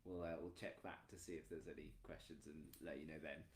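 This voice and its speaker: A man's voice: a bass voice, fairly even intonation, fairly quick, slight background noise. A man with an English accent chatting casually as a co-host, with a dry, jokey, self-deprecating manner. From England. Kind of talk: casual chat, informal demonstration. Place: room in a house. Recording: echoey room.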